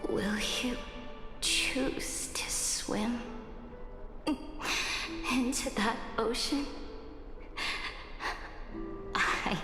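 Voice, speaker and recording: An actress playing a proud, imperious female emperor in a game cutscene, speaking in a solemn, deliberate, regal manner. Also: a little high. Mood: weary, proud. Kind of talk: dramatic game cutscene acting. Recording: echoey hall reverb, music behind.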